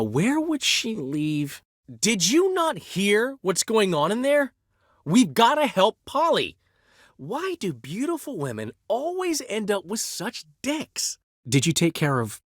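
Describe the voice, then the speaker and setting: A flamboyant, vain bard, theatrical and wry as he boasts and teases his friends. His pitch swings in a lively way, with a slightly husky grain. The recording is dry and close, with no room sound. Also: slow, no echo, full character voice. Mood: showy. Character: flamboyant male bard, vain, charming, talkative. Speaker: game character. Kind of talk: game dialogue voice acting.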